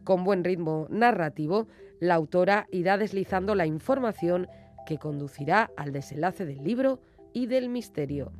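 A woman with a slightly low voice narrates a book review for radio. She follows prepared notes with some spontaneous asides, over music.

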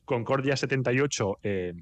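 A man at an ordinary, middle pitch, chatting as a guest on a radio program. He hesitates often and fills pauses with "eh." He mixes in light joking. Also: dry recording. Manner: hesitant, many "eh" fillers, joking. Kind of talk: casual radio interview.

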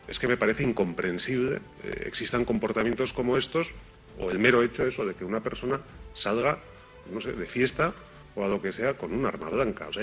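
Male official, low voice, emphatic statement, faint music. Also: a bit bright. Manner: firm, emphatic speech. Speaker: mayor. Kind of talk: recorded statement.

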